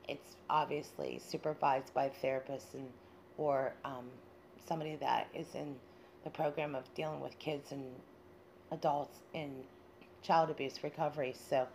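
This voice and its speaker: A woman hosting a radio show in an informal monologue, talking in a halting, rambling way. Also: sits low, steady tone, creaky, darkish tone, a little hiss or hum.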